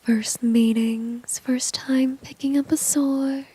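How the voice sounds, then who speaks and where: A woman playing a flirty, dominant villain in a whispered ASMR roleplay, teasing slowly and coyly with mocking condescension. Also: high voice, level tone, dry recording, heavily acted. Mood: smug, seductive. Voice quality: soft, breathy whisper. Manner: slow, coy, mocking, drawn-out teasing.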